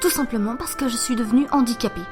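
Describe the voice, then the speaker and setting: A woman's voice: a little high, fast talker, music in the background, close to an everyday voice. An actress playing a bitter, depressed seventeen-year-old girl who has lost her sight, in an amateur audio drama. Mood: bitter, dejected.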